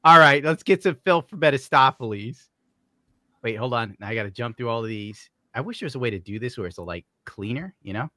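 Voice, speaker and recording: A man's voice: medium pitch, expressive, fairly quick, bright, forceful tone, no room sound, studio quality. A man hosting a livestream, reading donations and bantering casually in a blunt, profane, mocking way. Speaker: livestream host.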